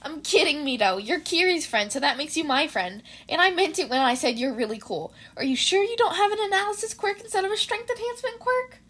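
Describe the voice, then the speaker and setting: A woman's voice: high-pitched, lively intonation, a little fast, few pauses, fairly bright tone, voice pushed up. A woman voicing a friendly, teasing teenage girl with a slangy, upbeat manner in a fan fiction reading. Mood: playful, impressed.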